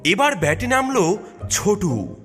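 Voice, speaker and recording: A man's voice: sits high, big pitch swings, unhurried, fairly bright tone, soft background music. A man narrating a children's cartoon story in a dramatic storytelling manner.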